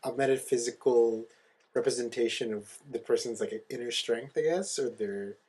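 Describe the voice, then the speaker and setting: A man co-hosting a podcast, talking informally and explaining things in a halting way. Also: medium pitch, a little mellow, slightly rough. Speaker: podcast co-host. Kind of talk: casual chat.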